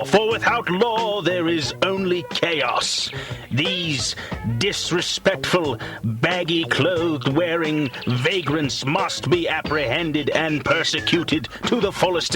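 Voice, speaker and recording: A man narrating in a mock-solemn documentary style, like a grave orator, with pompous and deliberate delivery. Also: fairly high pitch, expressive. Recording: music behind the voice. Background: music.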